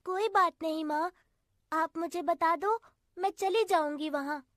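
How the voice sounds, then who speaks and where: A woman playing a sweet, obedient young girl in an animated story, speaking in a gentle, earnest, childlike way. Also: very high-pitched, measured pace, no echo, studio quality. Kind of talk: animated story character acting.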